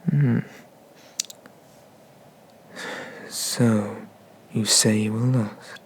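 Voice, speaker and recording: A man playing a haughty, vengeful dragon god in an ASMR roleplay, speaking with deliberate, disdainful menace and often slipping into whispers. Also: lowish voice, steady tone, slight background noise. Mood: condescending, bored.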